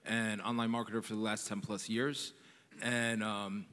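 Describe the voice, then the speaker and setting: A man's voice: fairly low pitch, flat delivery, moderate pace, fairly bright tone. A man from New York telling his story earnestly and energetically on a conference panel. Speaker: panelist, nonprofit founder. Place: large conference hall. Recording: long hall reverb.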